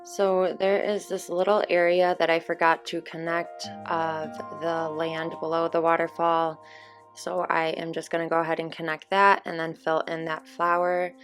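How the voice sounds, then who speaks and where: Young female host, slightly hoarse, relaxed chatty voice-over, low-ish pitch. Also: moderate pace. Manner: relaxed, chatty explaining with fillers. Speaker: gaming channel host. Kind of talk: casual gameplay voice-over. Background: soft background music.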